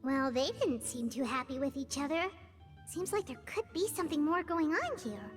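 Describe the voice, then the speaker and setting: A woman voicing a small, chatty, childlike flying companion with a squeaky, cheerful manner in a video game. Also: animated, normal speed, soft background music. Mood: musing. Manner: squeaky, refers to itself in third person.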